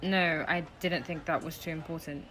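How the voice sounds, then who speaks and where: A woman's voice: low-pitched, steady tone, moderate pace, a bit bright, slight background noise, poor audio. An actress playing a guarded, protective young woman in an audio play, speaking in short, evasive phrases. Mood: awkward. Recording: busy crowd murmur behind.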